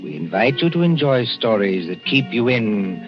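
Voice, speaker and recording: A man's voice: medium pitch, very animated, normal speed. A man announcing a 1940s radio mystery drama in a dramatic, suspenseful manner. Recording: dull old 1940s radio recording with music.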